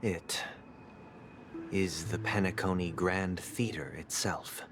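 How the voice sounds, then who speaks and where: A man playing a composed, solemn young head of a powerful family in a video game, speaking formally and in measured phrases. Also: low-pitched, fairly even intonation, background noise. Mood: grave, composed. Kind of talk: scripted game dialogue.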